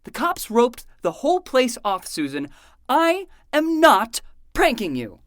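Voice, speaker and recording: Casting: a woman as a harried, sarcastic librarian man for audio drama, swinging pitch, close dry sound. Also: huge pitch swings, unhurried, no room sound. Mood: exasperated. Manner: exasperated, sarcastic, ranting. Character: exasperated, sarcastic male librarian, grown adult. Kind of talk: fiction podcast acting.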